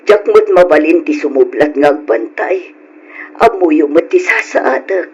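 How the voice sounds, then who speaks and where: Husky, worried old grandmother. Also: deep voice, animated, unhurried, a little mellow, heavily acted. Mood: resigned, devout. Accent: Ilocano Filipino. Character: worried, devout elderly grandmother. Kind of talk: old Ilocano radio drama acting. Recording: dull lo-fi radio sound.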